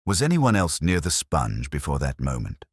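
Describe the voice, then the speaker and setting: A man playing a calm, measured police inspector who questions people gently and deliberately in a village mystery story. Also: very deep voice, slightly rough, dry recording, clean studio sound, exaggerated voice. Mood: calm, probing.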